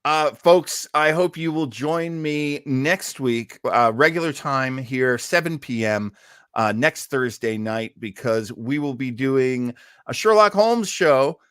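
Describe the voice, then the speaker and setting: A man's voice: medium pitch, animated, slow, a bit bright, clear, resonant tone, dry recording, studio quality. A man hosting a casual live online show, chatting in a rambling, jokey, emphatic way as he makes announcements.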